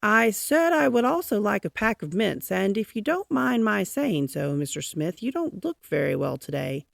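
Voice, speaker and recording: A kindly, once-lonely gentleman from a children's storybook, voiced by a woman. He speaks politely and earnestly, with plenty of emphasis. The voice is lightly creaky and recorded dry. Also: medium pitch, sing-song, normal speed, dry recording, voice pushed up. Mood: cheerful, obliging. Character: shy lonely man turned cheerful and kindly. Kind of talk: storybook character reading.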